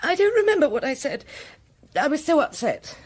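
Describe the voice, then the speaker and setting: High-voiced, flustered Englishwoman. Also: sing-song, normal speed. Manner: flustered, hesitant. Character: flustered, evasive wife of a politician. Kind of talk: British radio drama acting.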